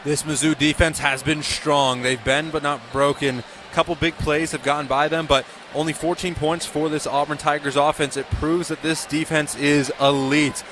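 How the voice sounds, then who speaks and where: A man's voice: on the high side, little pitch movement, moderate pace, few pauses. An American man giving emphatic, opinionated color commentary during a live football radio broadcast. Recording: loud stadium and outdoor ambient noise.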